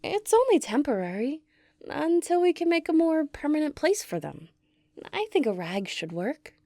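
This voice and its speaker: A woman playing a gentle, excitable young giantess who dotes on a tiny human, cooing tenderly in an ASMR roleplay. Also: sits high, normal speed, no echo, clean studio sound. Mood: tender, doting.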